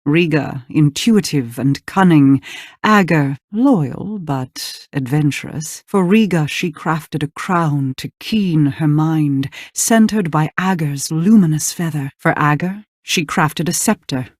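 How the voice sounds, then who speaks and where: A woman playing a regal, cryptic queen in a video game, speaking in a stately, deliberate, formal way. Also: low-pitched, dry recording, studio quality. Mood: storytelling. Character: regal, cryptic queen telling an old tale.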